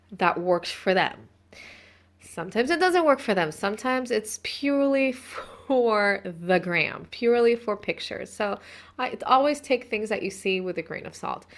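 A woman in her thirties hosting a casual talk about spiritual practice. Her speech is chatty and rambling, full of restarts and asides. She sits at a middle pitch, neither high nor low. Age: 30s. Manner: chatty, meandering, self-interrupting. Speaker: host.

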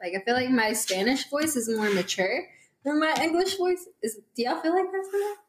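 Chatty young woman, slightly high voice. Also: expressive, a little fast, slight background noise. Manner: chatty, laughs easily. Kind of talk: casual chat. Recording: echoey room.

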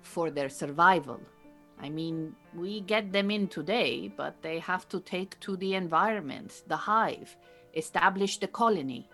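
A woman acting a calm, exacting Iranian refugee beekeeper in an audio drama, speaking in a measured, dry and formal way. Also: on the low side, faint music behind. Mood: composed.

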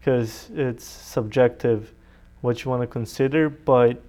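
A man's voice: medium pitch, steady tone, measured pace, mellow. A young man from Serbia, a native Serbian speaker, answering interview questions conversationally as a college student guest. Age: young adult.

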